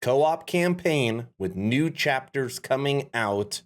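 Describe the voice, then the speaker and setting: A man's voice: medium pitch, lively intonation, takes it slowly. A man giving casual live reaction commentary as a streamer. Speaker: streamer reacting to a game announcement.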